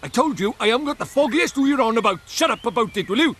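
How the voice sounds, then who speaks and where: A man voicing a grumpy, cheeky little fairy creature with a Welsh accent, blunt and impatient, in an animated fantasy game. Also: extremely high pitch, fast, slight background noise. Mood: irritated.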